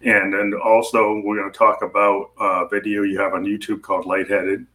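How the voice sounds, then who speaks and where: An American man hosts a live video podcast in a relaxed, casual way. His voice sits low but has a bright, forceful edge. He talks in loose, rambling runs, often stopping to correct himself. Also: moderate pace. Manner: loose, rambling explanations with frequent self-corrections. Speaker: podcast host. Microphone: video podcast mic.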